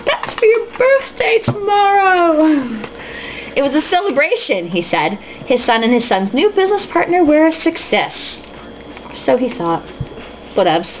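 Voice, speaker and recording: A woman's voice: fairly high pitch, sing-song, normal speed. Sarcastic woman reading aloud, lo-fi sound. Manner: sarcastic, foul-mouthed, giggly; tipsy drinking-game reader. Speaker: host reading a novel aloud with mocking commentary. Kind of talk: casual comic read-aloud with sarcastic asides. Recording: dull lo-fi with faint hiss.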